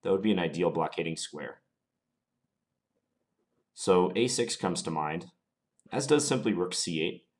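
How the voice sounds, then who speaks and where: Deep-voiced man calmly analysing chess moves. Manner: calm, measured, analytical. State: has a slight cold. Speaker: chess commentator playing online. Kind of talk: thinking aloud during a chess game.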